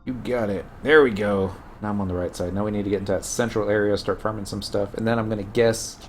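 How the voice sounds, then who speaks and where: A man's voice: on the low side, fairly even intonation, fast, a little hiss or hum. A man with a Texan accent casually chatting through his gameplay, rambling and answering viewers as he goes. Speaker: streamer playing an online game. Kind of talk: casual live gameplay commentary.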